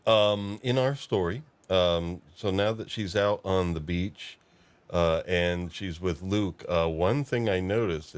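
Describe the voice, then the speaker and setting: A man hosting a casual discussion, recapping and putting questions to his guest. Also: sits low. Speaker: podcast host.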